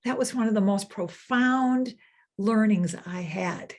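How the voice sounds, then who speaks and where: An older woman giving a sermon as senior minister, earnest, repeating phrases and slowing down for emphasis. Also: mid-range voice, a little mellow.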